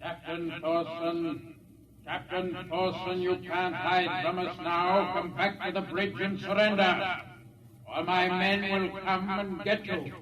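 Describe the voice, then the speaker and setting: An actor playing a calm, menacing alien officer who has taken over a spaceship in a 1950s radio drama. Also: male voice, high-pitched, slow, crowd noise, rough recording. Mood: coldly menacing.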